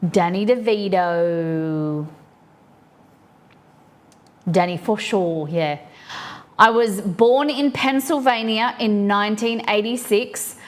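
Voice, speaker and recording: Casting: woman, live-stream host, chatty, casual, reads trivia aloud, mid-pitched voice. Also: takes it slowly, long pauses.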